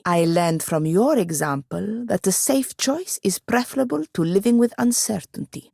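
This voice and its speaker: A woman's voice: sits low, creaky, warm tone, dry recording. A woman playing a proud, sardonic young woman wizard in a video game, speaking formally with dry wit. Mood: reflective. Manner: dry, wry, formal phrasing. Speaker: game companion character. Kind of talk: scripted video game dialogue.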